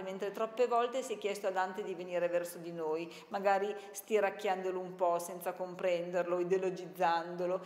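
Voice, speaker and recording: A woman's voice: mid-range voice, darkish tone. Woman in an echoey stone room. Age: middle-aged. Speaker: local interviewee. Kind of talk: on-camera interview statement. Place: marble-walled indoor monument room. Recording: echoey stone interior, long reverb.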